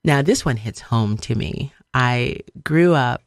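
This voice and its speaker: A woman's voice: very deep voice, animated, slow pace, dry recording, clean studio sound. A middle-aged woman from Liberia giving a motivational radio talk, stressing points emphatically with repeated phrases. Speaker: radio show host, speaker.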